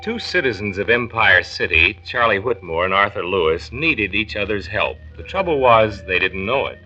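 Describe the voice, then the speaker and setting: An actor playing a dignified county health commissioner, narrating a 1940s radio drama in a measured, emphatic, formal manner. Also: male voice, on the low side, lively intonation, pushed and bright, soft background music. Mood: solemn, earnest. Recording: dull 1940s radio transcription.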